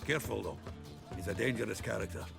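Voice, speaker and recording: A good-natured, salty companion in a fantasy game. His lowish voice is gravelly and his talk blunt and colloquial, with music behind. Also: animated, quick pace. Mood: wary. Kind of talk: video game dramatic acting.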